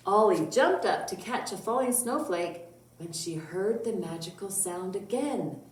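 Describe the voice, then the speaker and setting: A woman reading a picture book aloud to young children as a storytime librarian, with drawn-out words and dramatic emphasis. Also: medium pitch, animated. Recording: roomy echo, distant mic, faint noise.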